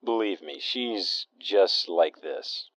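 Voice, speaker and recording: A man playing a genial, deadpan talk radio host in an audio drama. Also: low voice, takes it slowly, no echo, full character voice.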